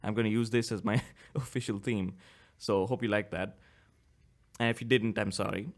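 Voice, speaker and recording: A man's voice: lowish voice, steady tone, normal speed. Man in his twenties, podcast host, slight cough, rambling, self-correcting, casual. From India. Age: 20s. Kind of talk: casual solo podcast talk.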